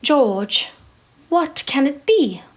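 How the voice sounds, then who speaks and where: A woman acting a gentle, anxious Edwardian wife and mother in an audio drama, soothing and expressive. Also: sits high, very slow, exaggerated voice. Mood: anxious, hushed.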